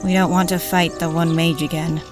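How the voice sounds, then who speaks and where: A woman playing a calm, pragmatic female thief in a fantasy audio drama, matter-of-fact. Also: fairly low pitch, brisk, music in the background, close to an everyday voice. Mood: calm, practical.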